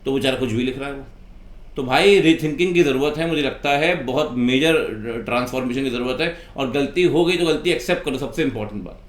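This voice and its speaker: A man's voice: medium pitch, brisk. A man, an accountancy teacher giving an impassioned, informal rant in an exasperated, reproachful way full of rhetorical questions. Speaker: accountancy teacher and video host. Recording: echoey room.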